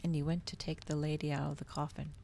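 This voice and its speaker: A woman with a low voice and noticeable vocal fry speaks softly into a close mic in an ASMR style. She moves between storybook narration and cozy streamer chat, often near a whisper, with some noise in the background. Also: little pitch movement, fast. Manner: soft-spoken, often slipping into whispers. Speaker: streamer reading aloud to viewers.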